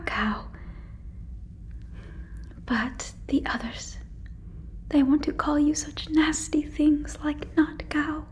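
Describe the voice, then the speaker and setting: A woman playing a gentle, curious, naive female alien in an ASMR roleplay, in a breathy whisper with stilted, formal, careful phrasing, close on the microphone. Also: high voice, a little hiss or hum. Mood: gentle, apologetic. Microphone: close fluffy microphone.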